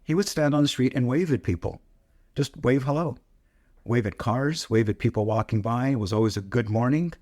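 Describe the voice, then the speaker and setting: A man's voice: medium pitch, a little fast, a little mellow, dry recording, clean studio sound. A man co-hosting a casual news podcast, telling stories in a reflective way. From Hungarian-speaking family. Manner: reflective storyteller, reads aloud at times. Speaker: podcast co-host.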